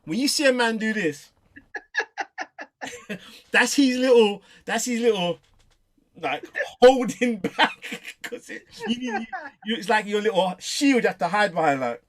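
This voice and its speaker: A man from Southeast London with a London accent, hosting a faith interview in a playful, laughing, animated way. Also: high voice, a little slow. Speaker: host. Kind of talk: interview host, casual chat.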